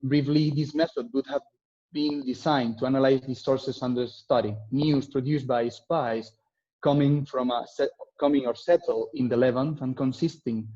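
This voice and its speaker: A man's voice: medium pitch, steady tone, moderate pace, warm tone, lo-fi sound. A young man with a Spanish accent, a native Spanish speaker, reading an academic presentation in hesitant, stumbling English. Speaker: doctoral researcher giving a talk. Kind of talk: academic presentation read from a prepared text.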